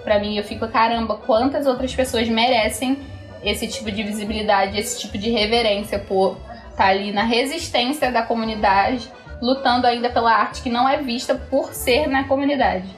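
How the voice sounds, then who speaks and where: Young woman, fairly high voice, conversational interview, faint music behind. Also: fairly even intonation, moderate pace. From Brazil, Rio de Janeiro. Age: young adult. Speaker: capoeira practitioner interviewee. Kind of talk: personal storytelling in an interview.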